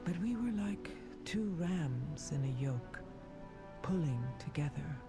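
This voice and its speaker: An earnest leader of a stone people in a fantasy game, heavy with feeling. She speaks slowly and with care, and music plays behind her. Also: deep voice, expressive, full character voice. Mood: somber reflection. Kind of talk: dramatic game voice acting.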